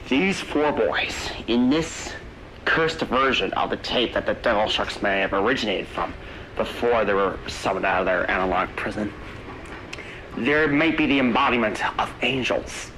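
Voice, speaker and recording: Low voiced guy rambling thru his theories like a found horror tape, kinda forceful, bit of noise behind him. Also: rough recording. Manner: halting, rambling, earnest explaining with repeats. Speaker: narrator of an analog horror video.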